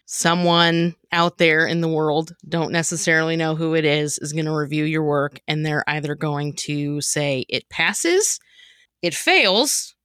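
Lower-pitched woman hosting, dry close sound. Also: measured pace, a bit bright, smooth, dry recording. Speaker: podcast host. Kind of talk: casual chat, explaining a comedy segment.

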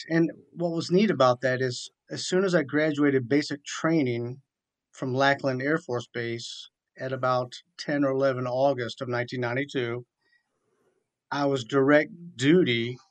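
Mid-pitched man telling his story in detail. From southeastern Virginia, USA. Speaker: military chaplain, radio guest. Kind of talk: conversational storytelling in an interview.

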